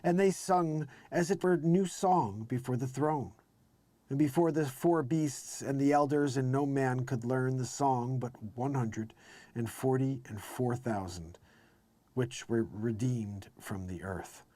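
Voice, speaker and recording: A man's voice: mid-range voice, a little slow, mellow, dry recording. A man hosting a live read-along, reading scripture aloud in an emphatic, declamatory way and adding casual informal commentary. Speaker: live show host.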